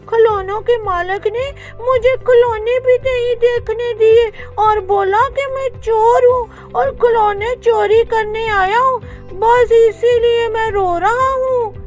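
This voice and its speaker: A woman's voice: very high voice, steady tone, takes it slowly, music in the background, voice pushed up. A woman voicing a poor, honest ten-year-old boy in a children's storybook tale, childlike and plaintive. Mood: plaintive, hurt.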